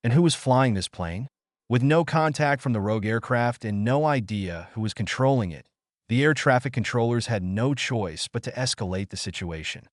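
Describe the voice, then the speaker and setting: A man's voice: mid-range voice, lively intonation, normal speed, dry recording, clean studio sound. A man reading a scripted story in a dramatic, suspenseful narrator's style.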